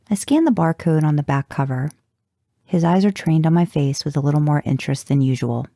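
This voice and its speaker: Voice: mid-range voice, creaky, mellow, breathy edge, no room sound, clean studio sound. A narrator reading first-person fan fiction in an audiobook style, with a wry, intimate delivery. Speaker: fan fiction podcast reader.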